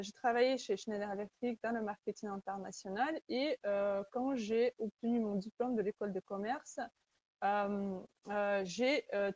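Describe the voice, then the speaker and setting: Husky-voiced woman presenting a webinar. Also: on the high side, darkish tone, no echo, lo-fi sound. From Kazakhstan. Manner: earnest, conversational, searching for words. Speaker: webinar host, Salesforce consultant.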